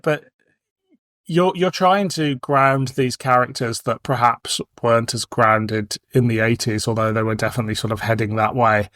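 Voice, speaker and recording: A man with a medium-pitched voice chats as a podcast co-host. He talks through his opinions informally and poses questions to his partner. The recording is very dry, without room sound. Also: a bit bright, dry recording. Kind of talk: casual discussion, posing questions to co-host.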